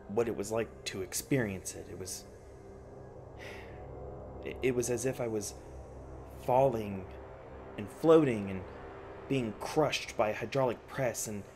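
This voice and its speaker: A man playing a shaken, traumatized researcher in an audio roleplay, speaking in a halting, stammering monologue. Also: on the low side, darkish tone. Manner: halting, stammering, faltering delivery. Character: shaken, traumatized male researcher recounting torment. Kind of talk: ASMR roleplay acting, intimate monologue.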